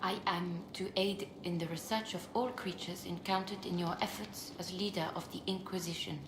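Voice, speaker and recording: A very low-voiced female researcher as an actress plays her for a video game. She speaks in a formal, deadpan, dutiful way. The sound is echoey with clear background noise. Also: moderate pace, natural voice. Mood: detached, dutiful. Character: flat, emotionless woman researcher of creatures, dutiful. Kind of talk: scripted video game dialogue.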